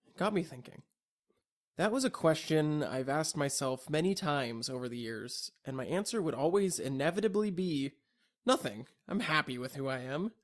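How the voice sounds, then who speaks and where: A young adult man delivering a scripted, reflective personal monologue in an introspective, deliberate and earnest way. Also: on the high side, animated. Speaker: audio log narrator.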